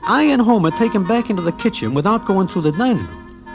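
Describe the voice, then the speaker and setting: An actor playing a nervous, wry gangster sidekick who also narrates a 1940s radio drama in formal present-tense slang. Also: male voice, high-pitched, animated, fast, music in the background. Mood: storytelling.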